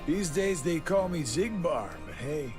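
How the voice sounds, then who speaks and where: A man's voice: on the high side, expressive, a little slow, music in the background. An actor playing a sly, nonchalant, world-weary man with a hidden past in a video game cutscene. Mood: wry.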